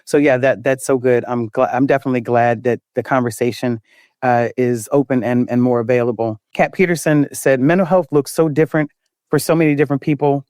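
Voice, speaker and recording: A man hosting a panel conversationally, affirming others and repeating words haltingly as he goes. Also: medium pitch, steady tone, darkish tone, dry recording, studio quality. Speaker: panel host, moderator. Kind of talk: conversational moderating, reading listener comments.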